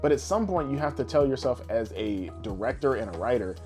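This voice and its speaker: A man talks in a loose, opinionated podcast style at a medium pitch. His speech is informal and sometimes profane, like chat between co-hosts. Music plays under his voice. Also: lively intonation, a little fast. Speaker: podcast co-host. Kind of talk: casual podcast chat about pop culture. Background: background music.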